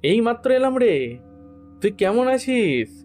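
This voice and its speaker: A man plays a concerned friend visiting a sickbed in an audio story. His voice lifts well above a narrator's tone, gentle, earnest and coaxing, with music underneath. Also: extremely high pitch, very animated, a little slow, pitched above the performer's own voice, full character voice. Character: Ajit, concerned male friend visiting a dying man's bedside. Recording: music behind the voice.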